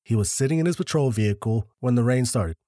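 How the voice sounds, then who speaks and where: A man co-hosting a podcast, telling a story in a laid-back, deliberate way with dry jokes, a little short of breath. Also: mid-range voice, lively intonation, dark tone, no echo. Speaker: podcast co-host.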